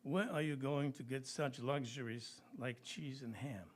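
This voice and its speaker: A man's voice: medium pitch, a little slow, close to an everyday voice. A man telling a joke at a live reading, playing a blunt, exasperated patent clerk with a dismissive manner.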